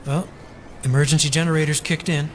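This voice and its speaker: Guy doing cautious methodical investigator in audio drama, highish voice, noisy background. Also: steady tone, brisk, lightly acted. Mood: urgent. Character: earnest, cautious male conspiracy investigator, methodical.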